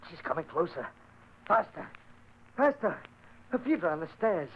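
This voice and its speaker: A frantic, paranoid aristocrat whose high voice leaps wildly up and down. He turns from terror to menace in a breath. The recording is dull and hissy, like an old radio drama. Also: very animated, normal speed. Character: mad aristocrat tormented by his dead sister.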